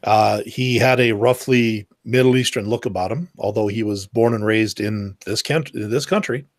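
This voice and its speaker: A man's voice: on the low side, moderate pace, no room sound. Dry-sounding man telling a story. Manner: storytelling, acts out quoted exchanges, swears freely. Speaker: podcast co-host. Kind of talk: casual round-table chat.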